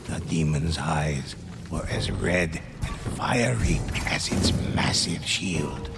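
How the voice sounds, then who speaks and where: A man playing a grave storyteller in a game, narrating a samurai legend slowly and solemnly. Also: a bass voice, gravelly, full character voice. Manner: slow, solemn, deliberate. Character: grave storyteller recounting legends of ghosts and demons. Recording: loud music under the voice. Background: loud music.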